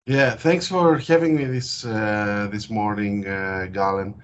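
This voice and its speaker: A man from Greece with a Greek accent, speaking in an interview as a guest researcher and explaining his work with frequent hesitant pauses. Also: on the low side, steady tone. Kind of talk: interview over a call. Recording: dull lo-fi call audio.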